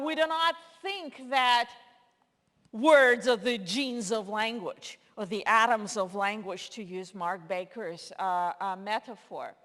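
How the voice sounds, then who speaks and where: A woman's voice: mid-range voice, fairly bright tone. A woman who speaks Russian natively, giving a conference lecture in a hall with many fillers and restarts. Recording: long hall reverb.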